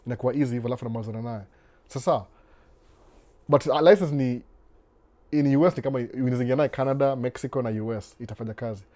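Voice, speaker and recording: A man talks in an easy, laid-back way on his live stream, reading out viewer comments and answering each one. His voice is mellow and warm at a middle pitch. He slides between Swahili and English without breaking stride. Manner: relaxed, unhurried, switches between Swahili and English mid-sentence; reads viewer comments aloud and responds to them. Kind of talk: casual live-stream chat.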